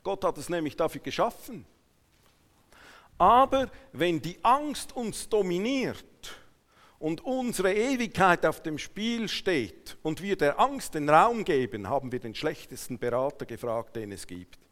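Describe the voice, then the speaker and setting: A man preaches to a congregation in a high voice with a Swiss German accent. His delivery is emphatic, moving between quiet asides and raised declarations. Long reverberation from the hall surrounds his words. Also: big pitch swings, a little slow. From Switzerland. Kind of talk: sermon to a congregation.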